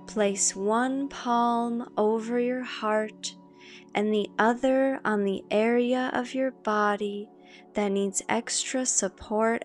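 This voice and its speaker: Slow, high-voiced woman guiding a meditation. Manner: calm, measured, with long pauses between phrases. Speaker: guided meditation narrator. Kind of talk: slow guided meditation with spoken affirmations. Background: meditation music.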